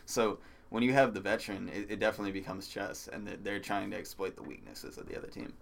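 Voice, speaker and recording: A young man chatting casually on a podcast, soft-spoken and patient as he explains, laughing often. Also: lowish voice, steady tone, fast talker, vocal fry. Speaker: comedian guest.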